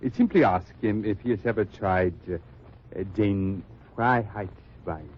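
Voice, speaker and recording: An actor playing an old German resistance leader, cautious and deliberate, in an old radio drama. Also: male voice, on the low side, natural voice. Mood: conspiratorial. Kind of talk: 1940s-50s radio drama acting. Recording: dull, noisy old radio recording.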